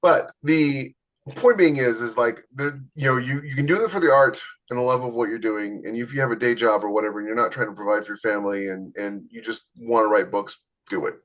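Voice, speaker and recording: A man's voice: mid-range voice, fairly quick, a bit bright. Blunt, emphatic man giving advice. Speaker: podcast co-host and author. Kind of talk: casual chat giving writing advice.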